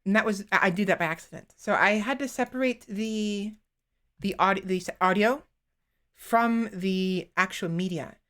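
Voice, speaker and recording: A woman streamer chatting casually into a desktop microphone, rambling and halting, often restarting her sentences and poking fun at herself. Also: mid-range voice, a bit bright. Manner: self-deprecating. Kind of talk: casual live-stream chat.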